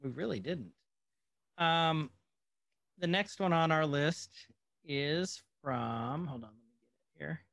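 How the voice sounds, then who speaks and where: A man's voice: fairly high pitch, takes it slowly, gravelly, long pauses, fairly bright tone, no echo. A man co-hosting a podcast, chatting casually and with opinions about old movies. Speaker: podcast co-host.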